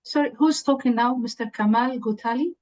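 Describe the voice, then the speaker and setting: A woman from Egypt moderates an online panel in a friendly, playful tone. Her voice sits fairly high. The call audio is muffled and lo-fi. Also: a little fast, a little mellow. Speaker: session moderator.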